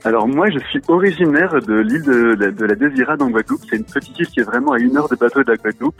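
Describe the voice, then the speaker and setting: A man's voice: medium pitch, sing-song, fast, creaky, slightly rough. A man guesting on a radio show, talking about his music in a friendly, eager way with plenty of fillers. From France. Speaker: singer-songwriter guest. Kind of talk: radio interview. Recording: tinny phone-line sound, dry.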